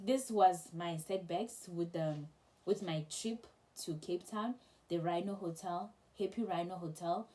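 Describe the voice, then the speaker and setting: A young woman casually telling a travel story to camera, chatty and animated, with many restarts. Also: fairly low pitch. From South Africa. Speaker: travel vlogger.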